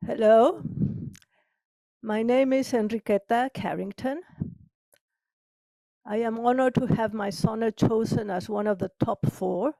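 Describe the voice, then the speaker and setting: Female poet from Mexico, soft dark tone, very unhurried solemn recitation, dry sound. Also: on the high side, vocal fry, no echo, poor audio. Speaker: poet reading own work. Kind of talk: introducing and reciting own poem.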